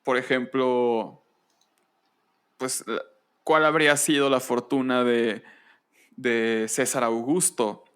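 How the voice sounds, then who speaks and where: A man with a mid-pitched voice hosts a history podcast. He speaks very slowly and deliberately, pausing as he explains. He often poses a rhetorical question in a conversational style. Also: steady tone, a bit bright, clean tone. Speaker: podcast host.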